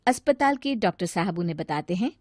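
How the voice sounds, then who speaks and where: A woman's voice: fairly low pitch, expressive, a little fast, a little mellow, no room sound, clean studio sound. A woman narrating a scripted moral story for a cartoon.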